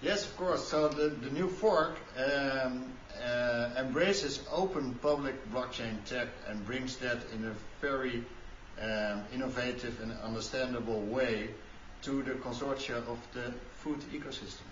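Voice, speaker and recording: A man's voice: medium pitch, unhurried, a little hiss or hum. A man standing indoors in front of a patterned wall, answering interview questions earnestly, with some fillers. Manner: earnest, gestures while speaking, some fillers. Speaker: guest speaker from a food blockchain company. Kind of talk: filmed video interview answers. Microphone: camera mic at a distance. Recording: echoey room from a distance, dull sound.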